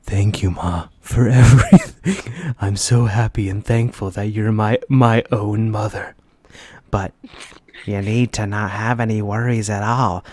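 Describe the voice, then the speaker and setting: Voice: mid-range voice, a little slow, darkish tone, dry recording, voice pushed down, natural voice. A reader voicing a doting male cartoon meerkat son with a tender, soothing, overly sincere manner.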